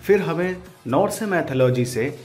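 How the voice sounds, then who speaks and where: Guy doing informal film commentary, highish voice, music playing under him. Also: animated, measured pace, darkish tone. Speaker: video host, trailer breakdown narrator. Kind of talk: explanatory movie commentary. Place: voice-over recording.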